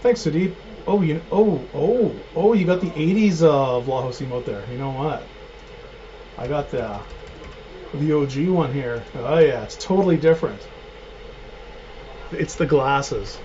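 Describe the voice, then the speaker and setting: Male home cook, slightly high voice, chatty and joking, dull lo-fi stream audio with noise. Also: measured pace, long pauses, warm tone. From Canada. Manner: chatty, joking, narrates his cooking steps. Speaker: cooking show host. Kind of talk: casual live cooking stream. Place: home kitchen.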